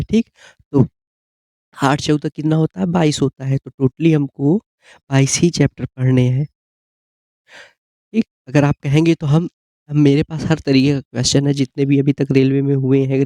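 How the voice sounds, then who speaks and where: A man gives an informal explanatory exam-prep lesson, often pausing to check that listeners follow. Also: mid-range voice, warm tone, no echo. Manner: repeated check-in tags like "okay?".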